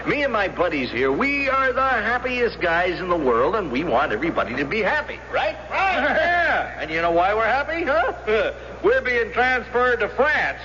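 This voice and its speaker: An actor in a 1970s radio drama playing a rowdy, drunken German combat soldier who is girl-crazy and quick to anger. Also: high voice. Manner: rowdy, slurred, laughing, back-slapping chatter. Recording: muffled, noisy 1970s radio broadcast.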